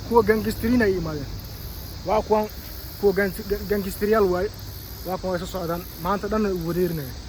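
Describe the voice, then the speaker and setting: A man from Somalia with a Somali accent, talking off the cuff in a halting, repetitive way as a vlogger. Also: high-pitched, fairly even intonation, darkish tone, slight background noise, rough recording. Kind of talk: unscripted vlog talk.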